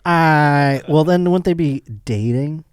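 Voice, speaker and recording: A man's voice: fairly high pitch, slow, smooth, no echo, clean studio sound. A man hosting a casual comedic podcast, rambling and joking as he speculates. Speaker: podcast host.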